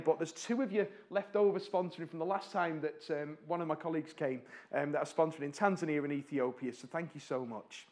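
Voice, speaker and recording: A man from North West England, speaking in a church for a charity, chatty, with fillers and questions to the crowd. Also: on the high side. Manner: warm-hearted, chatty, asks the audience questions; fillers and restarts, pauses while slides change. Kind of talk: church talk to a congregation.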